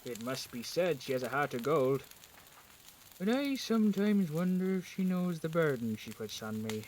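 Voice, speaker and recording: A man's voice: on the high side, big pitch swings, normal speed, a little mellow, slight background noise, poor audio. A man playing a resentful working villager in a period audio drama, sardonic and challenging in manner. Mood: gloomy, resentful. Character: bitter, resentful lower-class village husband in plague times.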